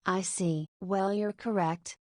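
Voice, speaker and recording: A forty-year-old single mother and school principal from a visual novel, as a woman plays her. She speaks at an ordinary mid pitch with teasing, motherly banter, recorded dry and close with no room sound. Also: steady tone, slow, a little mellow, dry recording, close to an everyday voice. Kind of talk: voiced visual novel dialogue.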